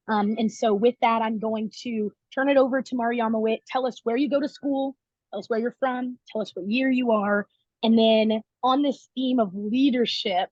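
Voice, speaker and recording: A woman's voice: a little high, a little mellow, no room sound, lo-fi sound. A young woman hosting a casual video interview, upbeat and chatty with plenty of fillers. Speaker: interview host.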